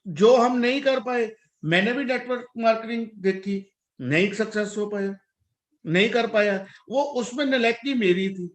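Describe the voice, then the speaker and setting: A man's voice: sits high, moderate pace, echoey room. A man in his forties from India, a parent speaking earnestly and reflectively in a casual talk, mixing English words into his speech. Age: 40s. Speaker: parent guest.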